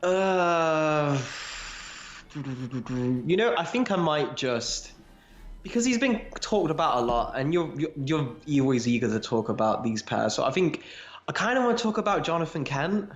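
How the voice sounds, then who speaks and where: A man's voice: a little high, sing-song, a little slow, muffled recording. A man co-hosting a podcast, chatting casually and enthusiastically about fandom in a rambling, informal way. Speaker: podcast co-host. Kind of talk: casual fan discussion. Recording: roomy, echoey home recording.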